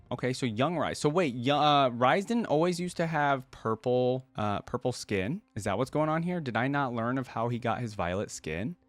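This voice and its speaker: A man's voice: mid-range voice, dry recording. A man hosting a reaction video, commenting casually and informally as he thinks aloud.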